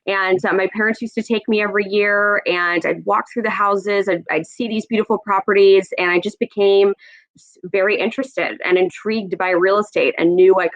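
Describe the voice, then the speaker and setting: A woman's voice: medium pitch, no room sound, highs cut off. A woman in her thirties from Oregon, a real estate executive, talking about her career as a podcast guest in a friendly, upbeat way. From Oregon, USA. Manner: friendly, upbeat, laughs easily. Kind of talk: conversational interview.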